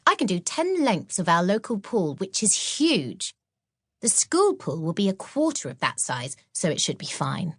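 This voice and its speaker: A woman performs a young, accident-prone witch schoolgirl for a children's audiobook. The character is earnest and a little sheepish, confiding in a lively, up-and-down voice. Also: mid-range voice, a little slow, breathy edge, dry recording, clean studio sound, full character voice. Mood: matter-of-fact.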